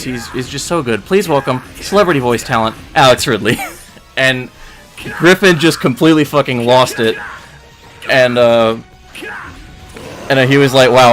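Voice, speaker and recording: A man hosting a stream in casual chat, joking and somewhat halting in how he talks. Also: mid-range voice, fairly bright tone. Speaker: stream host and director. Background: faint music.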